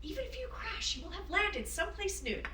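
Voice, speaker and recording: A woman's voice: extremely high pitch, big pitch swings, brisk, sharp tone, slight background noise, exaggerated voice. A woman playing a spirited, encouraging woman aviator in a staged play reading, with an earnest, emphatic manner. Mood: rousing. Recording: roomy, distant microphone.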